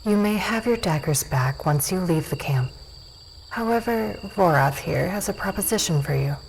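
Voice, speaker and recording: A woman playing a confident, teasing dusk elf warrior with a cool, creaky, commanding delivery in a fantasy audio drama. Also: lowish voice, animated, normal speed, slight background noise, rough recording. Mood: cool approval.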